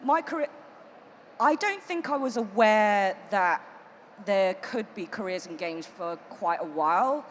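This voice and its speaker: A woman's voice: medium pitch, expressive, measured pace. A woman speaks on a conference panel, reflective and loose, with plenty of ums. Her voice rings out in a big hall with long reverb. A faint hiss sits underneath. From United Kingdom. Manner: reflective, loose, with many fillers.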